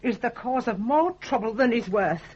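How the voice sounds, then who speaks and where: A woman's voice: on the high side, animated, moderate pace, a little mellow, husky. An actress playing a sour, stubborn older mother in a radio drama, with a curt, grudging delivery. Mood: sour, scornful. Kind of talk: 1980s radio drama acting. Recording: dull lo-fi old radio recording.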